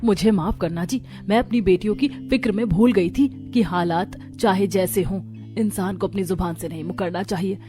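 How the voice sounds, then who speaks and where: A woman's voice: medium pitch, normal speed, dark tone, faint music behind, close to an everyday voice. A woman playing a worried, scolding middle-aged mother in a moral story, speaking in an earnest, admonishing way. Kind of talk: Hindi moral story voice acting.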